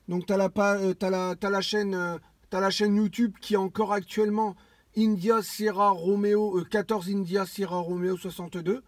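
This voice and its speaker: A high-pitched man talks casually in a radio contact. He circles back over phrases and restarts often. The sound is dry and close, with no room around it. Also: no room sound. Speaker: amateur CB radio operator.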